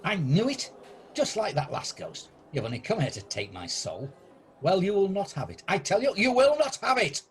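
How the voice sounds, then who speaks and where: A stingy, stubborn old grump acted by a man blusters through a radio play with scornful indignation. His pitch moves in lively swings. The home recording has some noise behind it. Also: moderate pace. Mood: indignant, alarmed. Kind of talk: amateur radio play acting. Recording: noisy home video-call recording.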